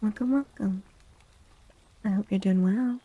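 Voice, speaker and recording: A woman hosting a soft-spoken ASMR livestream, hushed and breathy, often slipping into whispers and gently repeating phrases. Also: medium pitch, a little slow, no echo, phone-quality sound. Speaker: livestream host, tarot reader. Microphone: close microphone in a dry space.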